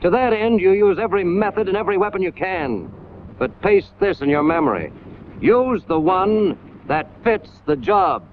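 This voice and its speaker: A man's voice: high-pitched, big pitch swings, normal speed. An American man delivering scripted 1940s military training-film narration in an emphatic, hard-hitting manner with clipped phrases. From United States. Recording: scratchy, tinny 1940s film soundtrack, loud noise.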